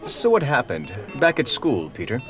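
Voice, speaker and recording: An actor playing a calm, questioning, authoritative hospital doctor in a radio drama. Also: male voice, medium pitch, moderate pace, soft background music. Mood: probing.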